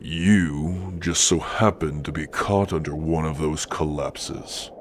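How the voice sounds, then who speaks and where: This is a grim orc warrior of the icy mountains, acted out by a man for an ASMR roleplay. The voice sits low and moves slowly, each phrase set down with deliberate menace. He talks down to the listener like a captor who owns them, over a clearly noisy ambient background. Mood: stern, menacing. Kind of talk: slow, scripted ASMR roleplay monologue addressed to listener.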